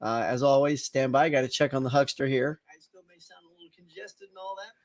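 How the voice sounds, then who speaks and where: A man's voice: medium pitch, expressive, a little fast. A middle-aged man hosting a casual live morning chat, slightly congested and rambling with fillers as he talks to viewers. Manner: rambling, chatty, lots of fillers and restarts.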